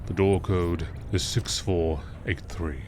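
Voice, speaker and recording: A man with a low-pitched voice reads in a measured, scripted way, like a nature documentary narrator. There is some light noise behind his voice. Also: fairly even intonation, a little mellow. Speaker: narrator reading in-game lore texts.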